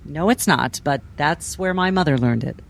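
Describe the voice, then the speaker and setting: A woman doing in-character tabletop role-play drama in a measured, deliberate, restrained way. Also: low-pitched, creaky. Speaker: role-play game player.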